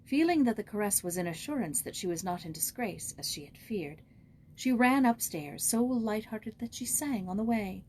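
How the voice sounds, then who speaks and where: A woman reading a children's story aloud in expressive, scripted narration as an audiobook reader. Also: mid-range voice, normal speed, a little hiss or hum.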